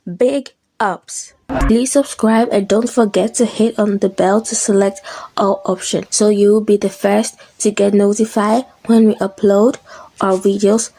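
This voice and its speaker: A woman hosting a comedy street quiz, greeting viewers in brisk, upbeat presenter patter. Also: medium pitch, fairly even intonation, gravelly, dark tone, no room sound, low-quality recording. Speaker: comedy video host.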